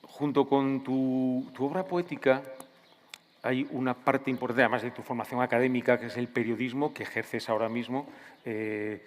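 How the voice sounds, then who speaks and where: A man's voice: medium pitch, steady tone, normal speed, long reverb tail, a little hiss or hum. A man interviewing a writer at a literature festival, building long questions with hesitations.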